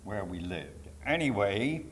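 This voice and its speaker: A man's voice: fairly low pitch, sing-song, slow. An elderly man in his nineties with a Polish accent gives personal testimony to a live audience. He takes his time over each phrase, stressing points and wandering into asides. There is some light noise in the recording. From Poland. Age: 90s. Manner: emphatic, digressive storytelling with rhetorical asides. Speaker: Holocaust survivor giving testimony. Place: public talk to an audience.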